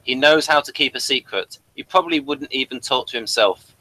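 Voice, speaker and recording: A man's voice: mid-range voice, a little fast, bright, forceful tone, no echo, rough recording. A man hosting a casual review of a TV series, reading from notes with dry, playful asides.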